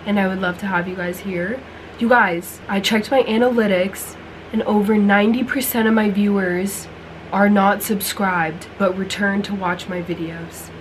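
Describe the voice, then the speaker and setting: A young woman chats to camera in a bubbly, rambling way, her voice hoarse as she gets over losing it. She keeps saying "like" and "you guys". Her pitch sits in the middle, and faint noise hums behind her. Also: steady tone, normal speed, rough recording. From United States. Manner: chatty, bubbly, heavy use of "like" and "you guys". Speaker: lifestyle vlogger. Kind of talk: casual chat to camera.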